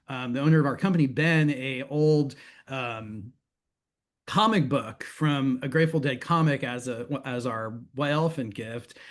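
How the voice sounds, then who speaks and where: A middle-aged man answering casually as an interview guest, halting and searching for words as he tells anecdotes. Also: medium pitch. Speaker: guest, music industry manager.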